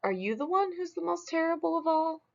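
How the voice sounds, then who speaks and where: A woman voicing a vain, boastful male monster in a storybook, with a high, shouty way of talking. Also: expressive, fairly quick, gravelly, full character voice. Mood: demanding. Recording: dull lo-fi recording.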